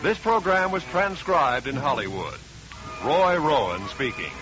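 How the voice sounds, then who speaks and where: A man's voice: a little high, very animated, a little slow. An American man reading scripted announcements in the style of a 1950s network radio announcer. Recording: muffled vintage radio broadcast, music behind. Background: music.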